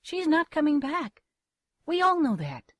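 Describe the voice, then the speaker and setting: A woman's voice: high-pitched, very animated, unhurried, fairly bright tone, no room sound, studio quality, full character voice. An actress playing a worried but firm, dismissive mother in a gothic soap audio drama. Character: Court's mother, worried, dismissive middle-aged woman.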